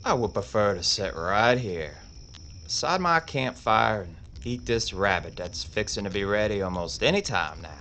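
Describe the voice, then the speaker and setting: A man playing a cool, deep-voiced outlaw. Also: expressive, a little hiss or hum. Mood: calm. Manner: slow, quiet, unhurried warnings. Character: calm, deadly male outlaw gunfighter. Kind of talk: audio drama acting.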